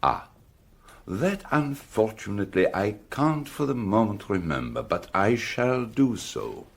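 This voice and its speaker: An actor playing a shrewd, courteous detective in a radio drama, speaking in a measured, precise, formal way and quietly needling. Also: male voice, lowish voice, very animated, gravelly, husky, heavily acted. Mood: musing, pointed.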